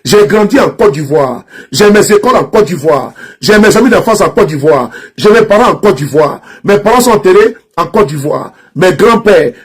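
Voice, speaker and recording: An Ivorian man with a strong Ivorian French accent and a high, husky voice, speaking to camera. He repeats his points over and over in a mocking, insistent tone and trips over false starts. The delivery is unscripted and personal, like an online commentator addressing his followers. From Ivory Coast. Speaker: social media commentator. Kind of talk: direct-to-camera political rant.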